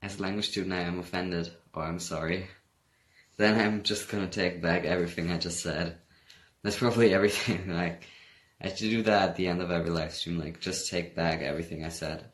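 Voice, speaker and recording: A man's voice: low-pitched, fairly even intonation, brisk. A young man with a German accent, chatting casually on a phone livestream and reading out comments in a laid-back, rambling way. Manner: laid-back, rambling, reads comments aloud, laughs often. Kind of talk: casual chat.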